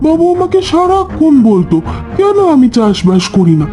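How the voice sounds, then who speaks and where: A man's voice: very high voice, big pitch swings, normal speed, warm tone, soft background music. A man voicing a spiteful, bossy village housemaid in a cartoon, with a haughty, scolding manner. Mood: gloating, sly. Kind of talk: cartoon dialogue.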